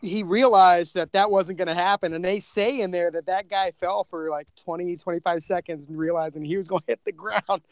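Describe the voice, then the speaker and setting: A man's voice: high-pitched, steady tone, a little fast, few pauses, no room sound. A man chatting casually on a podcast as its co-creator, telling stories in a rambling way.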